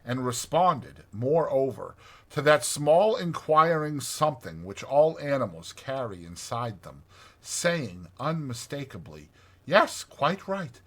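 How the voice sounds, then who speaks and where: A man narrating a classic storybook in an expressive, dramatized reading with deliberate phrasing. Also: mid-range voice. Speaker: audiobook narrator.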